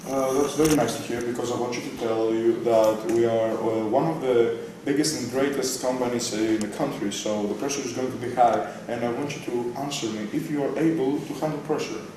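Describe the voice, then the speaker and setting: A man's voice: mid-range voice, fairly even intonation, natural voice. Teenage boy playing formal male interviewer, hesitant delivery, distant noisy recording, school role-play. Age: teenage student. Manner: halting, formal. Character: demanding male boss interviewing a candidate. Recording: very echoey, distant, noisy room.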